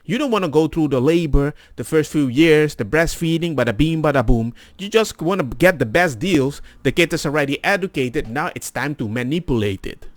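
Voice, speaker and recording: Animated high-pitched man reacting. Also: moderate pace, hardly any pauses, dry recording. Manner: animated, exasperated, mimics opponents mockingly. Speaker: reaction video host. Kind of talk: casual off-the-cuff reaction commentary.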